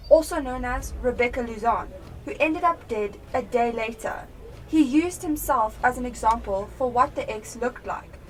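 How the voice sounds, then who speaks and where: High-voiced determined female detective, played by a young woman. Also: distant mic, natural voice, poor audio. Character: sharp, determined female police detective piecing clues together. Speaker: student actor in a school radio play. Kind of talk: radio drama acting.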